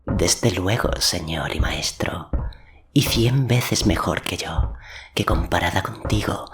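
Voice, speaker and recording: A man voicing a servile, seductive sorceress with slow, hushed, flattering delivery for an audiobook. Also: deep voice, whispered. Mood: sly, flattering. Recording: clearly noisy background with clicks.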